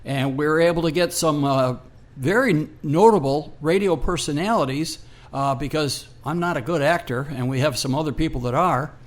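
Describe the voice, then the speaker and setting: An American man co-hosting a radio show, explaining background in an unscripted, halting way. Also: fairly high pitch. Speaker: radio co-host.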